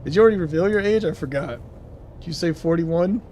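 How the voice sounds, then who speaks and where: A man in his mid-thirties talking through a live game stream, loose and rambling as he reacts to chat. His voice sits a bit high for a man, with a faint hiss of noise under it. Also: quick pace, darkish tone. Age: 30s. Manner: rambling, chatty, reads and answers viewer chat. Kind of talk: casual live-stream chat while gaming.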